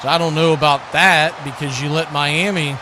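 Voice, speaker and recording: A man's voice: fairly high pitch, steady tone, moderate pace, noisy background. A man calling American football live as a play-by-play commentator. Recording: loud crowd roar and music behind.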